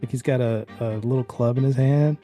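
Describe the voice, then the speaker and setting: A man's voice: mid-range voice, steady tone, a little fast, warm tone, faint music behind. A man narrating an improvised tabletop horror game as game master, in a casual, slangy and vivid way.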